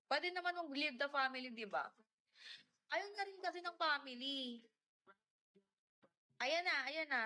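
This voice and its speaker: A woman's voice: high-pitched, pushed and bright. A young woman, live-streaming and chatting casually, greeting viewers by name and mixing Tagalog with English. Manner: mixes Tagalog and English mid-sentence. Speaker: livestreamer.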